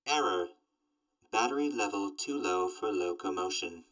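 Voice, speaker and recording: An actor playing a literal, gloomy robot companion in an audio drama, speaking in clipped, deadpan system announcements. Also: male voice, fairly low pitch, moderate pace, recorded from a distance, low-quality recording. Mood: flat, mechanical. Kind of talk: post-apocalyptic audio drama.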